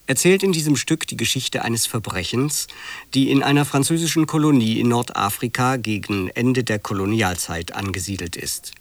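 A man giving a formal, scripted introduction to a radio drama in a measured, factual manner. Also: medium pitch, no room sound.

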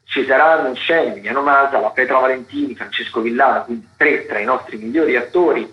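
A man presents theatre and dance listings on the radio in a semi-scripted style. He pauses and stretches words as he goes. The sound is echoey and slightly dull, at a medium pitch.

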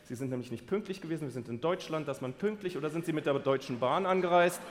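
Jovial conductor hosting from a concert hall stage. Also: male voice, fairly high pitch, fast talker, noisy background. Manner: jovial, mock-serious. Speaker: conductor and co-presenter. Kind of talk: comedic carnival stage banter. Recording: live hall reverb with audience.

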